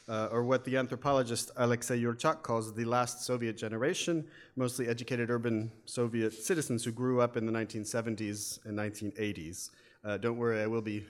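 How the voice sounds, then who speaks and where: An American man with a wry, methodical manner, giving an academic talk with frequent fillers. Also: fairly low pitch. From United States. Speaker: academic speaker. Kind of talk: lecture presentation.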